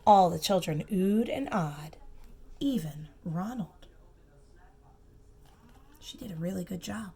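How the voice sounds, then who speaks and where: A woman reading a storybook aloud to young children, expressive and emphatic, with simple asides and questions for the listeners. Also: on the low side, slightly rough. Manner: expressive, slow emphatic phrasing, simple child-directed comments. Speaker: preschool teacher reading aloud.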